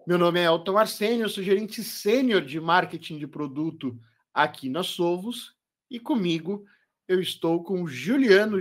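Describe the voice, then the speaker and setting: A man opens a corporate webinar in a fluent, upbeat presenter's voice. His voice sits a little high for a man, and he introduces the session over a computer microphone. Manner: fluent, upbeat presenter, says his accent is not Portuguese. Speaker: webinar host, product marketing manager.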